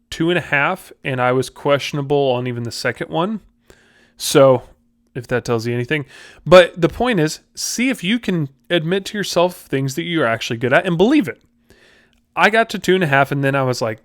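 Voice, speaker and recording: A man's voice: a little high, no room sound, clean studio sound. A man hosting a podcast, giving a casual motivational talk straight to the viewer in an informal, direct, confiding way. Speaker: podcast host.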